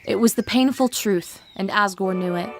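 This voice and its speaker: A woman's voice: medium pitch, normal speed, soft background music. A woman reading scripted, dramatic storytelling narration.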